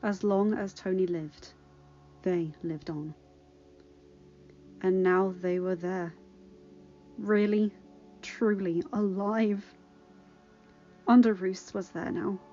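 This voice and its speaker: A woman reading a story aloud as a podfic narrator, dramatic and with emphatic pauses. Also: mid-range voice, mellow, highs cut off.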